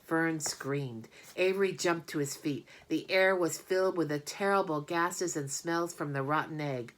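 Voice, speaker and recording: A woman with a low voice reads a children's storybook aloud. Her delivery is scripted narration with plenty of expression. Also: unhurried, low-quality recording.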